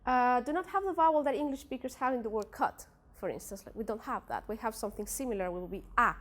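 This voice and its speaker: A woman's voice: on the high side, fast talker, fairly bright tone. Spanish-accented woman giving a hesitant talk. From Spanish-speaking country. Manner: hesitant, many fillers and restarts. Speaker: researcher presenting at a workshop. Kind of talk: academic presentation.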